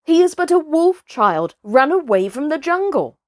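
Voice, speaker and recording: A woman's voice: high-pitched, sing-song, moderate pace, no echo, clean studio sound, voice pushed up. A woman voicing a clever, dismissive male village priest in a children's storybook, declaring things to a crowd. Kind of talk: children's storybook character reading.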